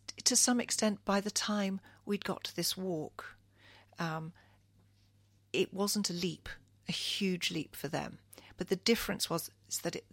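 Low, gravelly-voiced woman guest. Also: no echo. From Kenya. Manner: thoughtful, earnest, frequent restarts. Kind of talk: reflective radio interview.

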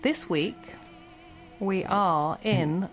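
A woman's voice: fairly low pitch, drawn-out words, music in the background. A woman presenting hospital radio, chatty and laughing, tripping over numbers. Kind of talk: casual radio chat. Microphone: studio mic with feedback.

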